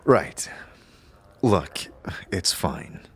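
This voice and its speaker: A blunt, wry fantasy adventurer played by a man in an audio drama. He speaks in a lowish voice, terse and offhand, as if little impresses him. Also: animated, very slow, breathy edge, exaggerated voice. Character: male adventurer hero, blunt, wry, casual.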